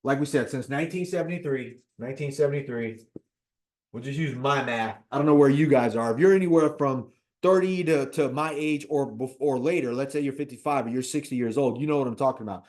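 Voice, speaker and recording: A man of fifty talking casually about personal finance as an investor, animated, repeating points and checking in with "right?". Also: mid-range voice, fairly quick. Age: 50s. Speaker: real estate investor.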